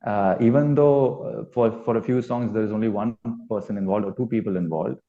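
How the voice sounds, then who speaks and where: A man's voice: on the low side, a little fast, creaky, dark tone. A man with an Indian accent answering interview questions as a band musician, reflective and rambling as he searches for his words. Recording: dull, lo-fi audio.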